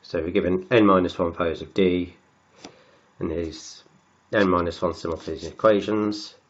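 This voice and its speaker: A man with a cold, croaky and sniffly, working slowly through textbook maths and often repeating words as he writes. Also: low voice, fairly even intonation, highs cut off. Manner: halting, repeats words while writing. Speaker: maths tutor.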